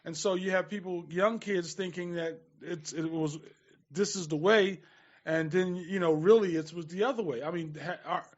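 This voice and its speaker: A man talking casually as a panelist on a radio talk show, halting and repeating words as he makes his points. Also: high-pitched. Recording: dull lo-fi radio recording.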